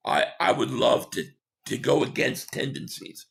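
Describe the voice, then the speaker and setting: A man hosting a sports talk livestream, hoarse and losing his voice, reading chat comments and giving rambling, opinionated takes. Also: mid-range voice, big pitch swings, unhurried, a bit bright. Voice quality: hoarse, gravelly. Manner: rambling, opinionated, repeats himself.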